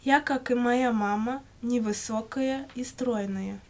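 A woman reading scripted answers for a language lesson in measured, complete sentences. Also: fairly high pitch. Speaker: language-lesson model speaker answering questions. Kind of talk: scripted language-lesson dialogue, read aloud.

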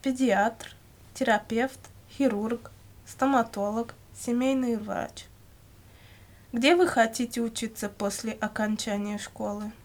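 A woman reading scripted language-course questions and answers in a measured, carefully enunciated way. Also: fairly high pitch, fairly even intonation. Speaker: language lesson reader.

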